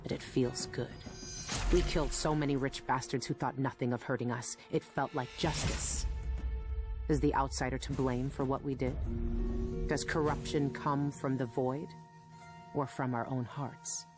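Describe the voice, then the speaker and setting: Give this one to a hardened, determined female assassin in a video game. Her delivery is measured and terse, with a weary, guarded edge. Clear music plays behind her. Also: extremely low pitch, steady tone. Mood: brooding, reflective. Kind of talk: video game cutscene acting and brooding narration.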